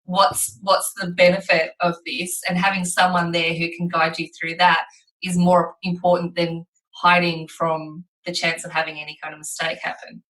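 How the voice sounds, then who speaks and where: An Australian woman hosting a casual podcast chat, her voice low-pitched yet bright and forceful. She talks her way through ideas with plenty of restarts. She sits a little back from the mic. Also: flat delivery, moderate pace, recorded from a distance, rough recording. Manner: chatty, searching for words, with frequent restarts. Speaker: podcast host.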